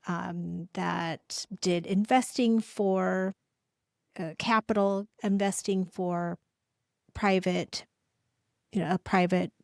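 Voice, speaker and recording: A woman's voice: medium pitch, darkish tone, dry recording, clean studio sound. A middle-aged woman who works as a coach, talking casually to her viewers with many restarts and fillers. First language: English. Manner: halting, with frequent restarts and fillers. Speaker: coach and channel host. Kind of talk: casual talk to camera.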